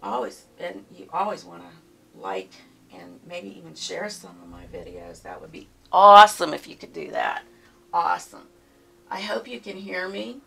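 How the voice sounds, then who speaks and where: Soft-spoken woman with a Pittsburgh accent, painting teacher, low, unhurried voice, picked up by a distant camera mic. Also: big pitch swings, creaky, faint music behind. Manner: unhurried, murmurs to herself while painting. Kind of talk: step-by-step painting tutorial. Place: home art studio, indoors. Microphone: camera mic from behind, far off.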